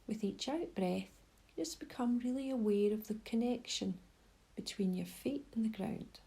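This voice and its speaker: Woman doing a guided meditation, breathy n husky, bit of vocal fry, gentle and slow to move on. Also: fairly high pitch. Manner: gentle, unhurried instruction with pauses. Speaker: mindfulness guide.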